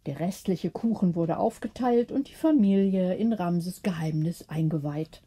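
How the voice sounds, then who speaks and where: A woman narrating a bedtime audiobook story in a measured, expressive storytelling manner. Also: fairly low pitch, breathy edge.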